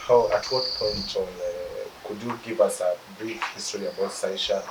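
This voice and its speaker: A man interviewing a guest, asking halting questions with many pauses and fillers. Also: fairly low pitch, steady tone, echoey room. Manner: quiet backchannels, halting questions with many uhs. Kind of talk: casual interview. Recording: distant mic with loud insect noise.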